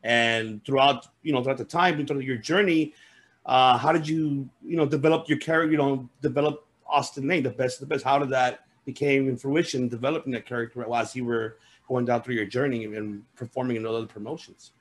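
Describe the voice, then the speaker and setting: A male host asks long, winding questions, often repeating a phrase before he gets to the point. His voice sits at a middle pitch for a man. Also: fairly even intonation, fairly quick. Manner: meandering, repetitive questions. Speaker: podcast host. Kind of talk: casual interview chat.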